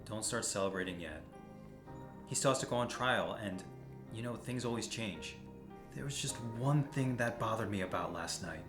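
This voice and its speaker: A man's voice: lowish voice, moderate pace, close to an everyday voice. A man playing a determined, brooding masked vigilante in an audio drama, grim and resolute. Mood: wary, determined. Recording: roomy echo, music bed underneath.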